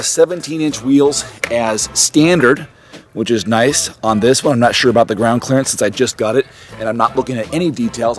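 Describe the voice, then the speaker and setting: A man in his forties talks casually and upbeat into a camera held at arm's length. His voice is a little worn from a cold, and he thinks aloud as he goes. Faint music plays underneath. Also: mid-range voice. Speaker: car reviewer. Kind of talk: casual walkaround car review. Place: outdoors on a street and inside a parked car. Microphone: handheld camera at arm's length.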